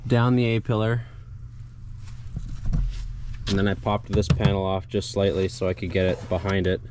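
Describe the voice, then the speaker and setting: A man's voice: sits low, flat delivery, normal speed. A young adult man giving a casual, hands-on tutorial, explaining step by step in an informal way as he works. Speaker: DIY car video host. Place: in and beside a parked car outdoors. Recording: clear background noise, vehicle ambience.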